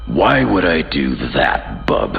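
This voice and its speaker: Game brawler, surly tough guy: extremely low, gravelly growl, blunt and informal. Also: big pitch swings, slow pace, highs cut off, soft background music, heavily acted. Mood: gruff, wary. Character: gruff, surly male mutant brawler.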